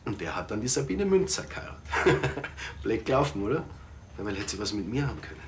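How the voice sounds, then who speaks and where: Cocky, lowish-voiced guy. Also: sing-song, quick pace, a little hiss or hum. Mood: offhand, cocky. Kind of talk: television crime comedy acting.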